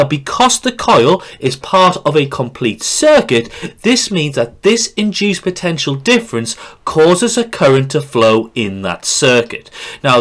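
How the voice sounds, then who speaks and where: A man's voice: mid-range voice, a bit bright. A man narrating a lesson over slides as a teacher, explaining step by step and stressing key points. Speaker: physics teacher.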